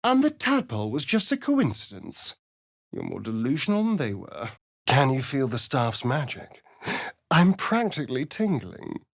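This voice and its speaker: An actor playing a sardonic, foppish vampire spawn rogue in a video game, with an arch, theatrical, disdainful drawl. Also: male voice, medium pitch, huge pitch swings, moderate pace, no echo, studio quality. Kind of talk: video game voice acting, companion dialogue and narration.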